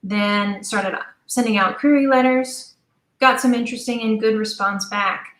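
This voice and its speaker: A middle-aged woman chatting casually as an interview guest, telling stories in an animated way and acting out bits of quoted dialogue. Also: a little high, a little slow. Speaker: interview guest, audio drama creator. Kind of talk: casual conversational storytelling. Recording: roomy, echoing sound.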